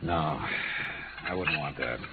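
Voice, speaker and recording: An American actor playing a wry, hard-boiled insurance investigator in 1950s radio noir, with terse, dry delivery. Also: male voice, very deep voice, takes it slowly, gravelly, heavy background noise, heavily acted. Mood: dry, probing. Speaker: lead actor. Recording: tinny band-limited vintage radio, music behind.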